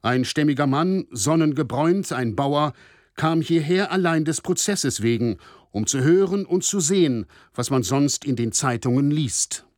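Lively-pitched male radio reporter. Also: measured pace, no room sound, studio quality. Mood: formal, descriptive. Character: male radio reporter covering a war-crimes trial. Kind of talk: scripted radio reportage narration.

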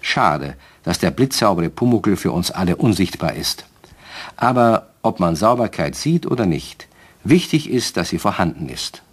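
A man playing the avuncular narrator of a children's radio play, with a husky voice, addressing young listeners directly in a measured way. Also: deep voice, very animated, exaggerated voice. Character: avuncular male storyteller of a children's tale. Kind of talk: scripted children's story narration.

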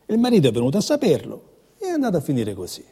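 A man's voice: on the high side, huge pitch swings, racing, warm tone, husky, exaggerated voice. An actor playing a dismissive, insinuating male villager in a stage drama. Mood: amused gossip. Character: dismissive male villager gossiping about killings, smug denier. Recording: muffled, long hall reverb.

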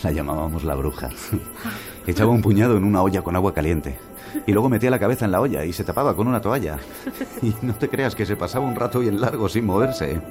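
Actor as a low-voiced, chatty, stubborn husband. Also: male voice, expressive, quick pace, over music, lightly acted. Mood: amused, nostalgic. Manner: chatty, persuasive, tags remarks with questions. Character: easygoing, stubborn, talkative husband on a road trip. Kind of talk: naturalistic radio drama acting.

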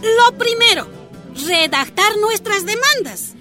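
A woman's voice: very high voice, lively intonation, slow pace, sharp tone, soft background music, heavily acted. A woman playing a prudent, organized activist with a Bolivian accent in a radio drama, reasoning emphatically. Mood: resolved. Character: prudent, organized female human rights activist from mining camp.

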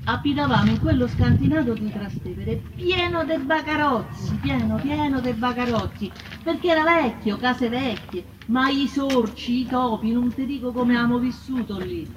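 A high female voice with a Roman accent, an actress as a plain-spoken, bitter working-class wife. She tells her hardships emphatically and colloquially in theatrical testimony. The sound is dull and distant, with music behind her. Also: lively intonation, normal speed, hardly any pauses, background noise, close to an everyday voice. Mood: aggrieved, weary. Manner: colloquial, emphatic, repeating words. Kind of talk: theatrical first-person testimony.

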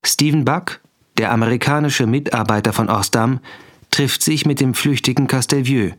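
A man's voice: mid-range voice, moderate pace, fairly bright tone. A man announcing scene headings in a scripted radio drama.

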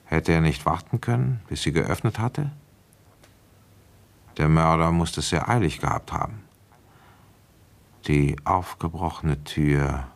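An actor playing a weary, shrewd police inspector in a German radio play, brooding and speaking deliberately as he reasons things through. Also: a bass voice, gravelly, exaggerated voice. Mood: brooding, reflective. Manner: deliberate, reflective, halting while thinking aloud. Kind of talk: German radio play acting, first-person narration and dialogue.

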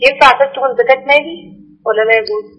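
A woman's voice: sits high, racing, a bit bright, breathy edge. A woman with an Egyptian accent asking for religious advice on a call, in spontaneous colloquial speech. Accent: Egyptian Arabic. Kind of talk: spontaneous colloquial phone question. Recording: dull, muffled call audio.

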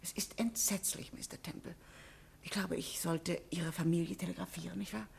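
An actress playing a worried middle-aged boarding school headmistress in a 1950s radio drama, who talks in a hesitant, apologetic way. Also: female voice, fairly low pitch, steady tone, moderate pace, gravelly, darkish tone, slight background noise.

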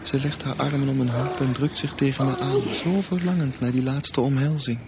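A man's voice: medium pitch, fairly even intonation, moderate pace, background noise, natural voice. An actor playing an obsessed young man in a radio drama, speaking poetic, intimate, morbidly tender inner monologue. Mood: morbidly entranced. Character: obsessed dreamy young male swimmer luring girl to drown. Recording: dull, echoey radio play recording under music.

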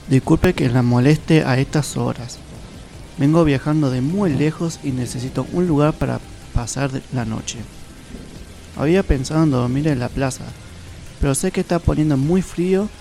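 Guy acting a polite ragged traveler in a radio play, creaky mellow voice, music and rain under it. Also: mid-range voice, moderate pace, close to an everyday voice. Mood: humble, pleading. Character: humble wandering traveler, secretly a wizard, polite, grateful.

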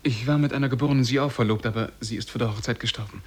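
An actor playing a lovestruck, shy young man who tells his tale in measured, poetic German radio drama style. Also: mid-range voice, husky, close to an everyday voice. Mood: shy, polite. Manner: measured, poetic, careful diction.

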